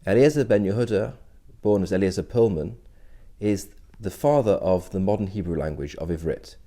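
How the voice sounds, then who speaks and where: Casting: middle-aged male rabbi, low mellow voice, measured and explanatory. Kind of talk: short history talk to camera.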